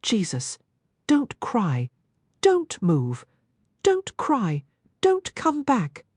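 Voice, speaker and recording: A woman doing a rough Cockney club owner. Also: on the high side, huge pitch swings, very slow, a little mellow, no room sound, clean studio sound, voice pushed up, exaggerated voice. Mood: pleading. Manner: strained, commanding, hoarse-edged. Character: rough, brooding gaming-club owner, adult man.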